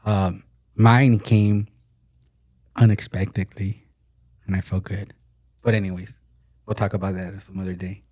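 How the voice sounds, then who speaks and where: A man in his forties with a low, dark voice co-hosts a casual podcast. He talks bluntly, jokes around, and swears freely. The sound is dry. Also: flat delivery, unhurried, long pauses, no room sound. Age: 40s. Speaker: podcast co-host. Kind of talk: casual chat.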